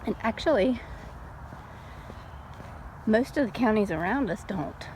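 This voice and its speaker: Slow-talking, mellow woman chatting outdoors. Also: medium pitch, poor audio. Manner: unhurried, folksy, chatty. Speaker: storytelling vlogger and mother. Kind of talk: casual rambling chat. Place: outdoors, walking. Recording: steady background noise, outdoors on a walk.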